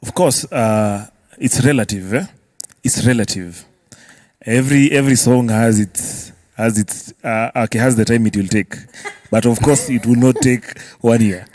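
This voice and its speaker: A man's voice: medium pitch, moderate pace, a little mellow. A man with a Kenyan accent explaining as a guest in a casual discussion, step by step, often checking that listeners follow. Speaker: music producer guest.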